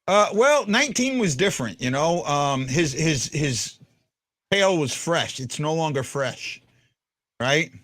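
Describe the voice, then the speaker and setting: A man with a deep voice hosting a casual fan podcast, rambling with stumbling restarts. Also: animated, unhurried, a bit bright. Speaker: podcast host.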